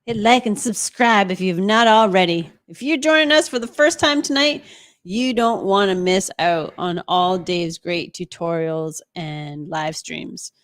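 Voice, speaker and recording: A woman's voice: lowish voice, measured pace, a bit bright. Playful woman co-hosting a live stream. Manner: teasing, playful banter. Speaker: live stream co-host reading viewer chat. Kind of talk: casual live stream chat.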